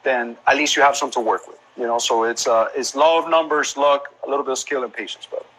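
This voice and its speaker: A laid-back man in his thirties with a light Colombian Spanish accent speaks over a live video call. His voice sits in a middle pitch. He drops in man and bro often and restarts phrases while he gathers his thoughts. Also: fast, low-quality recording. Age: 30s. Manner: relaxed, calls the host man and bro, repeats words while thinking. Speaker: photographer and business owner. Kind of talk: casual interview chat. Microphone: phone or laptop on a live video call.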